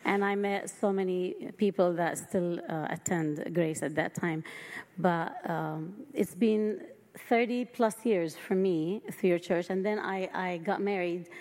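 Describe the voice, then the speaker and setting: Middle-aged female missionary telling her story, fairly low pitch, big reverberant church space. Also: steady tone, measured pace, darkish tone. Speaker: missionary guest. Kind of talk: personal testimony in an interview. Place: indoor church hall. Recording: reverberant large hall.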